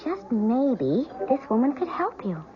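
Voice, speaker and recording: A woman's voice: high voice, big pitch swings, takes it slowly, noisy background, full character voice. An actress playing a chatty, scatterbrained female best friend in a radio drama, talking in a gossipy, hesitant way. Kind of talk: 1970s radio drama acting. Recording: lo-fi old radio broadcast.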